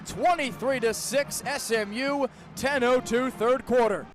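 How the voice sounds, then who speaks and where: A young man with a very high voice does live football play-by-play for radio. His short, clipped phrases set the scene and swell into stretched-out, excited calls. Faint stadium noise sits behind him. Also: unhurried. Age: young adult. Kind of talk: live radio sports commentary.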